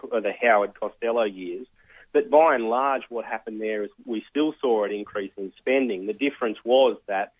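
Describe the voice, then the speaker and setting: An Australian man giving measured, analytical explanations as an expert guest in a radio interview. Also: mid-range voice, no room sound. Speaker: think tank research fellow, interview guest. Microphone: telephone. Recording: tinny narrow phone line.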